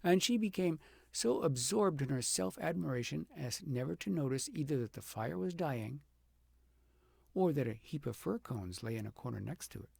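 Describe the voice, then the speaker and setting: Gravelly male storybook narrator. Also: a little high, lively intonation, moderate pace, darkish tone, no room sound. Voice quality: gravelly, slightly husky. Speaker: audiobook reader. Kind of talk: expressive storybook narration of a fairy tale.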